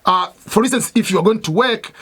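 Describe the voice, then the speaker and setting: A man with a fairly high voice talks through his points at an unhurried pace. He is a native Swahili speaker and a veteran who explains patiently, repeats himself and fills his pauses with "uh" and "I mean". It sounds like an informal advice talk. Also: husky. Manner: steady, repetitive explaining with many fillers and restarts. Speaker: military veteran giving advice.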